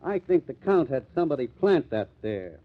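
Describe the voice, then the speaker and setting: An actor playing a brisk, suspicious police detective in a 1950s radio mystery. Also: male voice, mid-range voice, big pitch swings. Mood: reasoning. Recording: muffled old radio recording.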